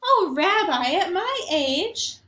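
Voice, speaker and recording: A woman's voice: very high-pitched, very animated, slow, sharp tone, roomy sound, voice pushed up, heavily acted. A woman voicing a kindly, fussing old grandmother who cannot see or hear well. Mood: fond. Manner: fond, fussing and sing-song. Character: kindly old woman, half blind and half deaf, chatty.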